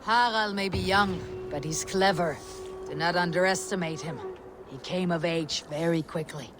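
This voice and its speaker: An actress playing a loyal, guarded Viking warrior, plain-spoken in dramatic game dialogue. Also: female voice, lowish voice, moderate pace, close to an everyday voice. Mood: wary, probing. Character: loyal, steady Viking warrior of the Raven clan. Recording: faint ambient background noise.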